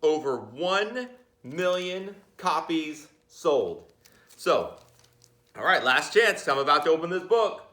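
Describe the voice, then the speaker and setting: A man's voice: a little high, big pitch swings, slow, bright, forceful tone. A man reading a children's picture book aloud, playfully talking to his listeners with chatty asides. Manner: playful, addresses listeners directly. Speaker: read-aloud storyteller. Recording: roomy, echoey sound.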